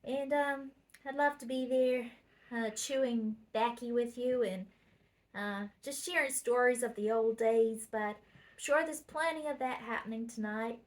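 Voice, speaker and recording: Lady faking a southern drawl, kinda high pitched, lots of uhs. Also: measured pace. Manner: put-on Southern American drawl; hesitant with fillers. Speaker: daughter-in-law. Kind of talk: birthday video message.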